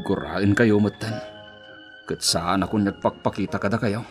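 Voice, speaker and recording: A man's voice: fairly low pitch, fairly even intonation, normal speed, soft background music, natural voice. Distressed Ilocano family man played by an actor. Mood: hurt, reproachful. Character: distressed adult son, devoted husband and father, Lito. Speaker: radio drama actor. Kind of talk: Ilocano radio drama acting.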